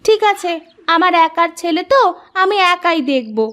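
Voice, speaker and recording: Woman acting as doting mother, high voice, lively intonation, defensive, bickering, cartoon village tale. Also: moderate pace. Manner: sharp retorts, indulgent of her son. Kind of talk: Bengali cartoon moral-story voice acting.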